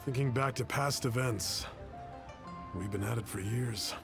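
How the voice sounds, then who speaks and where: An actor playing a calm male friend in a video game, speaking in a measured, reminiscing way. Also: mid-range voice, vocal fry. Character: adult male old friend, calm and nostalgic. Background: game music.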